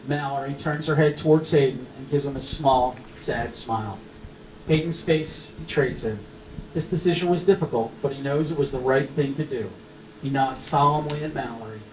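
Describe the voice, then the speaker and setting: A man's voice: mid-range voice, moderate pace, darkish tone. A man reading stage directions as scripted narration at a screenplay table read. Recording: roomy, distant mic, faint noise.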